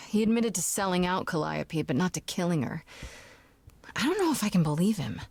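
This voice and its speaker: An actress playing a young heroine in a video game, blunt and probing, talking in a direct, conversational way. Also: female voice, lowish voice, expressive, normal speed, vocal fry, darkish tone, husky, no room sound, heavily acted. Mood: curious. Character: young woman Grace, blunt, probing, guarded newcomer heroine. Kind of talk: video game dialogue acting.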